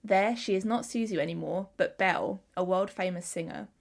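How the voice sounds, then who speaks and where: A woman co-hosting a film podcast, reading a synopsis in an even, measured way. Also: mid-range voice, vocal fry. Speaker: podcast co-host. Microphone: headset.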